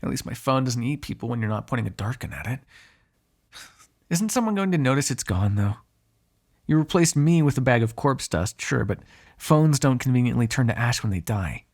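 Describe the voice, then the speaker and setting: A cynical, menacing vampire played by a man in an intimate audio roleplay. His voice is gravelly and husky at a middling pitch. He talks in sardonic, drawling asides, with a grudging fondness under the threat. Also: sing-song, moderate pace, a little mellow. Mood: exasperated, dry. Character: ageless male vampire, cynical, menacing, grudgingly fond captive. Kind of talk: ASMR roleplay, one-sided dramatic dialogue.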